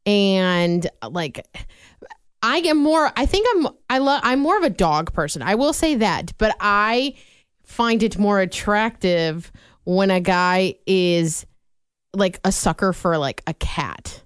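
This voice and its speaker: A woman's voice: medium pitch, animated, slow pace, smooth, no room sound, studio quality. A young woman co-hosting a radio show, chatting casually and gushing with easy laughs. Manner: bubbly, gushing, laughs easily. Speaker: radio co-host. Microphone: studio mic.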